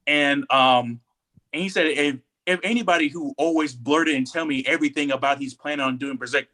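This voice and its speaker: A man co-hosting a casual podcast discussion, telling stories in an animated, slangy way and acting out quotes. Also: on the high side. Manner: animated storytelling, acts out quotes in slangy speech. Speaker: podcast co-host.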